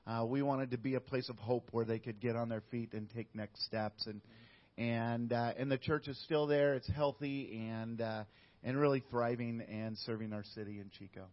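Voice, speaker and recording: A man's voice: medium pitch, moderate pace. Middle-aged man telling his story, lo-fi. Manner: steady, with many uh fillers and restarts. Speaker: missionary guest. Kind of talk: casual interview storytelling. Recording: dull lo-fi audio.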